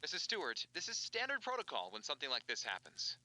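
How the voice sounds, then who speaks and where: A man's voice: on the high side, huge pitch swings, quick pace, sharp tone, heavily acted, rough recording. An actor playing a formal, cold, evasive male lawyer who pressures insistently in a found-footage horror drama. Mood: firm, impatient.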